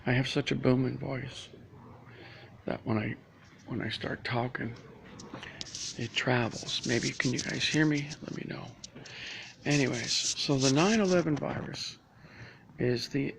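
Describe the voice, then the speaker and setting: Gravelly guy rambling on a live stream in a library, phone mic, noisy. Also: medium pitch, a bit bright. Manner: rambling, restarts, trails off into asides. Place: public library indoors. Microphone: phone or laptop camera.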